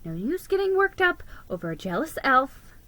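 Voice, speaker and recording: A woman's voice: high voice, sing-song, normal speed, sharp tone, voice pushed up, full character voice. A woman voicing a polite, timid male mouse, the hero of a storybook, speaking hesitantly. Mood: self-soothing.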